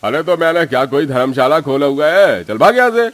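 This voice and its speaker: A man playing a gruff, burly eatery owner in an animated story, speaking brusquely. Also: a little high, fairly quick, no echo. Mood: dismissive.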